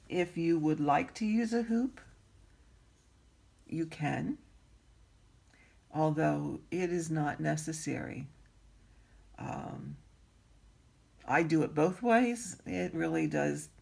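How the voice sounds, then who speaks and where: A woman with a raspy voice teaching needlework in an easygoing tutorial, pausing often with ums and clearing her throat. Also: deep voice, darkish tone. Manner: slow, unhurried, frequent ums and throat clearing. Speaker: embroidery teacher. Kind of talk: instructional craft tutorial.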